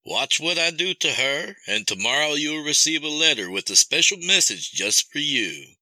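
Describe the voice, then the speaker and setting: A man voicing a sadistic, coldly amused jilted husband in an audio horror story, taunting with menacing calm. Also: a little high, normal speed, dry recording, voice pushed up, exaggerated voice, poor audio. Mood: cold menace.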